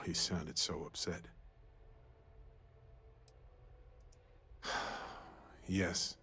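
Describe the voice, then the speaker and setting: A man playing a warm, wistful space explorer companion in a video game, earnest and reflective in a conversational way. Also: lowish voice, husky. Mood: pained, concerned. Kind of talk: scripted video game dialogue.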